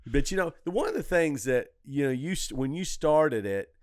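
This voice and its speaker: Clear-voiced older man, rambling storyteller. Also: a little high, expressive, normal speed, dry recording, studio quality. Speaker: comedy podcast host and club owner. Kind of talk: casual backstage podcast conversation.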